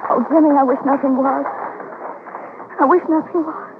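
A woman's voice: sits high, steady tone, moderate pace, background noise. An actress playing a wistful, affectionate young woman, an old sweetheart, in an old-time radio drama, speaking tenderly. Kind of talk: 1940s-50s radio drama acting. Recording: tinny old radio recording, music behind.

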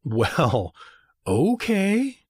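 A man's voice: on the high side, huge pitch swings, very slow, a little mellow, breathy edge, no room sound, studio quality, exaggerated voice. A man playing a chatty, oblivious middle-aged American dad who makes affable, corny small talk in an audio fantasy comedy. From rural South Dakota.

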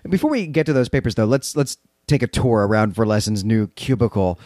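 A man's voice: fairly low pitch, expressive, a little fast, a little mellow, no echo, clean studio sound. A man co-hosting a podcast, retelling and discussing a story in an articulate, explanatory way. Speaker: podcast co-host. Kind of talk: literary recap discussion, semi-prepared retelling.

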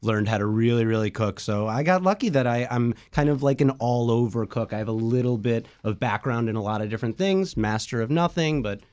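A man's voice: medium pitch, expressive, fairly quick, few pauses, darkish tone, clear, resonant tone. A man from Ukraine with an American accent, a guest chef chatting casually and modestly in an interview.

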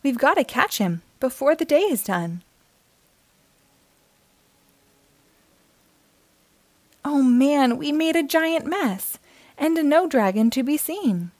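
A woman's voice: high voice, very animated, measured pace, darkish tone, no echo. A woman reading the part of an eager, determined child dragon hunter in an earnest storybook delivery. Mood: excited, hopeful.

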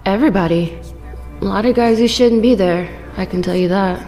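Audio drama female role, actress: guarded club bartender, streetwise, unhurried, mid-pitched, in long reverb. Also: mellow, soft background music, close to an everyday voice. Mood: guarded, knowing.

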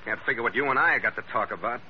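An actor playing a tough ship's skipper, clipped and hard-boiled, in 1940s radio drama style. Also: male voice, medium pitch, racing, slight background noise, close to an everyday voice. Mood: wary, defiant. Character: tough, terse male ketch skipper narrating his log. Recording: dull vintage radio recording with music.

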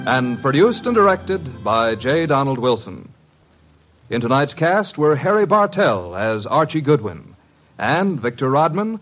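A man's voice: mid-range voice, fairly bright tone, low-quality recording. A man announcing in a scripted, vintage radio style.

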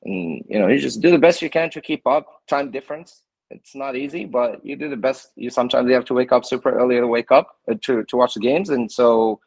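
A man's voice: medium pitch, brisk, creaky, darkish tone, dry recording, poor audio. A man from Morocco, a soccer coach, answering interview questions casually and linking his thoughts with "and so". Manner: strings thoughts together with "and so".

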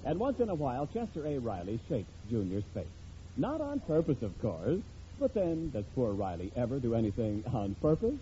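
Swooping, mellow vintage radio announcer, male. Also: medium pitch, huge pitch swings, moderate pace, slight background noise. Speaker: announcer and narrator. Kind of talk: wry scripted radio narration, 1940s-50s. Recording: dull old radio recording, music behind.

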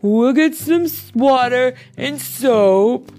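A woman voicing a practical, good-natured male bear baker in a children's story, announcing things with measured emphasis. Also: sits high, dark tone, soft background music, voice pushed up. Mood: helpful.

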